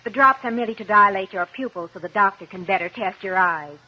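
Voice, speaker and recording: An actress playing a composed, professional medical assistant in a 1950s radio drama. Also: female voice, medium pitch, fast talker, lightly acted. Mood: courteous, reassuring. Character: efficient, composed female medical office assistant. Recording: muffled, noisy old radio recording.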